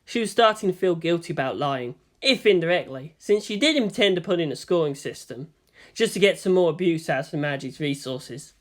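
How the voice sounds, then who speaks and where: A woman's voice: lowish voice, expressive, fairly quick. A woman reading scripted fan fiction as a story narrator.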